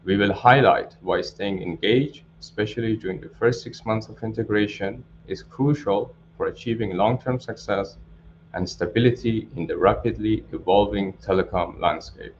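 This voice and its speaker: A man hosting a webinar, reading a formal scripted introduction in a measured way. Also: fairly low pitch. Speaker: webinar host. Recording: dull lo-fi audio, clear background noise.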